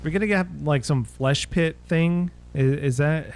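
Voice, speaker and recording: A man hosting a reaction video, giving casual commentary with muttered asides, quick exclamations and chuckles. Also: a little high, a little hiss or hum.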